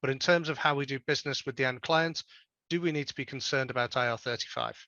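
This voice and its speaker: A man's voice: mid-range voice, fast talker, bright, forceful tone, dry recording, dull audio. A man hosting a webinar, politely reading out audience questions and thanking the speaker. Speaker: webinar host.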